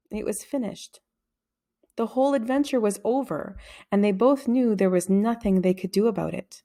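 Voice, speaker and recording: A woman reading a children's story aloud as an expressive narrator. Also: mid-range voice.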